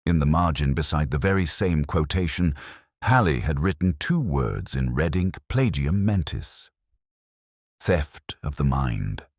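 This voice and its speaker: Very deep, brooding male detective narrator. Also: expressive, slightly rough, no echo, clean studio sound. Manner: measured, brooding, literary. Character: weary, reflective male police detective narrating. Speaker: narrator of a detective audio drama. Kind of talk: scripted first-person mystery narration.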